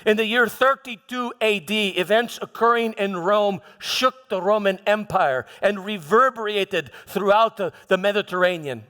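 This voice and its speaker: A proud, defensive Roman prefect, acted by a man in a church dramatization, recounts his deeds in a high-pitched male voice. He speaks theatrically but haltingly, doubling back on words like a soldier justifying himself. The sound rings with the long reverb of a hall. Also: bright, forceful tone. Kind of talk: first-person church dramatic monologue.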